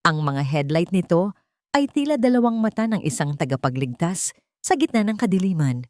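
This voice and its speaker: A woman's voice: lowish voice, sing-song, moderate pace, darkish tone, no echo, studio quality. A woman reading a scripted romance drama story as its narrator, in a dramatic storytelling style.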